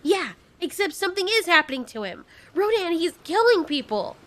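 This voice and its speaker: A woman's voice: very high voice, sing-song, normal speed, pitched above the performer's own voice. A woman voicing an earnest, anxious female giant moth monster who pleads and exclaims, in an audio story. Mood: distressed.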